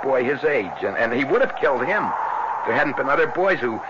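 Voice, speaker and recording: An actor playing a burdened, guilt-ridden older brother in a halting, earnest 1970s radio drama style. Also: male voice, mid-range voice, big pitch swings, natural voice. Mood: grave recounting. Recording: noisy, muffled vintage radio broadcast.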